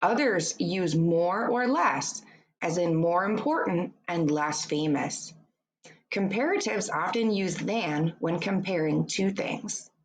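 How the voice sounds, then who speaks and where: A woman reads an educational children's book aloud like a teacher, in a deliberate, instructive way, adding short explanations for young pupils. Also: on the low side, lively intonation, poor audio.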